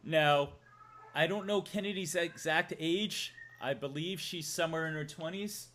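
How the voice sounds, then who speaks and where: A man's voice: fairly high pitch, measured pace, a bit bright. A man chatting on a live stream, rambling playfully and reading out viewer donations. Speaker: livestreamer.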